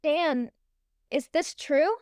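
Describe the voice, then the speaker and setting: A woman's voice: high voice, drawn-out words, a little mellow, no echo, studio quality. A woman playing an earnest, hopeful young princess in a fantasy audio play, with a pleading manner. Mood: dismayed.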